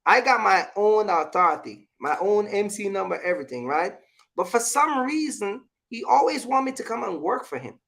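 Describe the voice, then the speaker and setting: Creaky-voiced man giving emphatic advice. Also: sits high, animated, moderate pace, a bit bright. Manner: emphatic, repetitive, checks in with listener. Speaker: vlog host, trucking business owner. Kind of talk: casual advice talk with personal anecdotes.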